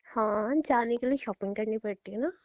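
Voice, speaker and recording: A woman's voice: a little high, normal speed, darkish tone. A young woman bantering playfully with her boyfriend in a casual phone call. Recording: dull lo-fi call recording.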